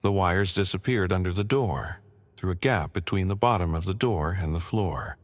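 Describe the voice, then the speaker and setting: Low-voiced man narrating a horror story. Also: moderate pace. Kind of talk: scripted narration of a first-person creepypasta.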